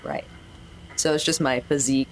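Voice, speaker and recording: A woman's voice: very deep voice, fairly even intonation, moderate pace. A woman playing a tabletop role-playing game, chatting casually about her moves. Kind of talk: casual chat. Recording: faint background noise.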